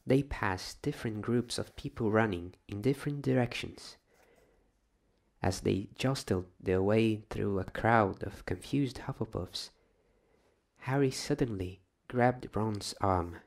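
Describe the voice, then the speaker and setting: A soft-spoken man reading a novel as an ASMR bedtime story in a hushed, deliberate, dramatised way. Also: on the low side, gravelly.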